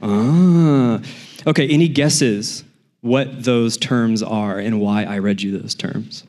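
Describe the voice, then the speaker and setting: A man's voice: medium pitch, slow, darkish tone, soft background music. Upbeat man hosting a live stage podcast. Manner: upbeat, playful, addressing the audience. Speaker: podcast host. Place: conference stage before an audience.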